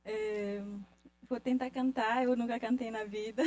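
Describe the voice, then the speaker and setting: High-voiced, slow, halting woman, non-native Portuguese. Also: fairly even intonation, dark tone. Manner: halting, searching for words. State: nervous. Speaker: singer presenting a song of their people. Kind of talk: informal spoken introduction to a live audience.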